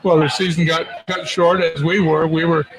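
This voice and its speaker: Higher-voiced older man in a busy crowd. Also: little pitch movement, moderate pace, low-quality recording. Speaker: tennis coach, show guest. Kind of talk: casual chat. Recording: crowd chatter behind.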